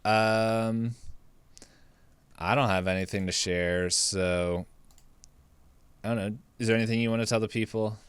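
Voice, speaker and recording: A man's voice: on the low side, steady tone, unhurried, long pauses, clear, resonant tone, dry recording. A man co-hosting a podcast in casual chat, hesitant and rambling as he thinks aloud. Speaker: podcast co-host.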